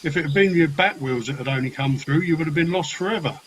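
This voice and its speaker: A man's voice: fairly high pitch, fast, fairly bright tone, a little hiss or hum, natural voice. A man playing a cheeky, defensive dodgy dealer in a comic radio play. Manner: cheeky, colloquial.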